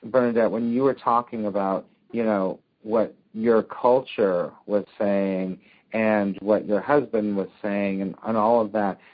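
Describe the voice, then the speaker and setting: A man leading a spiritual discussion group, speaking in a gentle, encouraging way and often murmuring agreement. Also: fairly low pitch, steady tone, a little slow. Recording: dull lo-fi sound.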